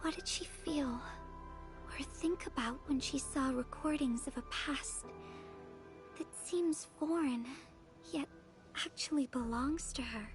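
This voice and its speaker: A woman playing a gentle, wistful young courier in a video game, speaking softly and breathily with a tender, reflective manner. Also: high-pitched. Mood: wistful, uneasy. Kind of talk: video game story dialogue.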